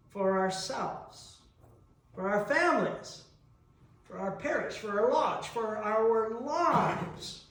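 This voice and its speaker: A heavily reverberant church recording, caught at some distance, of a middle-aged man giving a sermon. He speaks slowly and deliberately in a reflective style. He leaves long pauses between phrases and poses rhetorical questions. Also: very high voice. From New Brunswick, Canada. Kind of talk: reflective sermon with personal anecdotes. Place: large reverberant church interior. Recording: echoey church, picked up from a distance.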